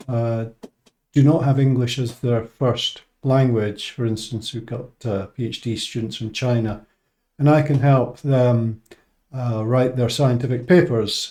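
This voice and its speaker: A Scottish man speaks as a teacher and scientist, explaining things informally to his viewers. His voice is dark and relaxed, with no hard edge to it. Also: mid-range voice. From Scotland. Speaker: English teacher and medical research scientist.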